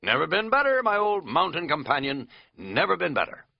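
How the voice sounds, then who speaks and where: A man voicing a boisterous, jokey middle-aged hiking companion in a loud party voice. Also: medium pitch, huge pitch swings, unhurried, no echo, dull audio, pitched above the performer's own voice.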